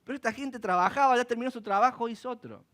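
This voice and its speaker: A man preaching a sermon in church, animated and asking rhetorical questions. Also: very high voice, quick pace. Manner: animated, acts out quoted voices and asks rhetorical questions. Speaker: pastor.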